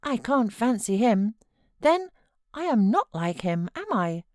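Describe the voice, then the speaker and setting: Woman as a high-voiced, timid, fretful young boy. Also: big pitch swings, unhurried, darkish tone, no room sound, studio quality. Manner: plaintive questioning. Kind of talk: audiobook character voice.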